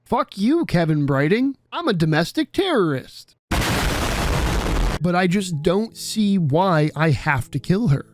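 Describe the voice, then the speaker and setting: A man's voice: sits high, sing-song, takes it slowly, heavy background noise. A man narrating a scripted video essay in a conversational, irreverent, sweary way.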